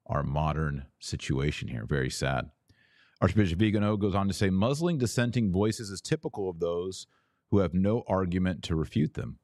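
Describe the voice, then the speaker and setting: A man hosting a religious news podcast, commenting and reading aloud with deliberate, emphatic phrasing and drawn-out words. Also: sits low, a little mellow, no room sound, clean studio sound. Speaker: podcast host.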